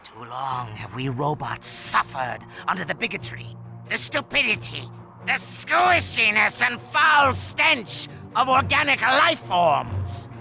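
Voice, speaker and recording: An actor playing a megalomaniac robot villain in a video game, making a theatrical, grandiose speech. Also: male voice, high-pitched, huge pitch swings, slow, vocal fry, bright, forceful tone, faint music behind, heavily acted. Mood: contemptuous, grandiose. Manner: theatrical, grandiose speechifying with sudden swings to menace.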